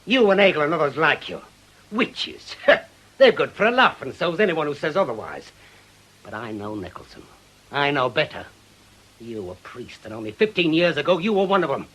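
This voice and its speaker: An actor playing a bitter, fearful older widower in a British radio drama, sardonic and emphatic, halting when troubled. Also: male voice, fairly high pitch, very animated, fairly bright tone, low-quality recording. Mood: scornful, bitter.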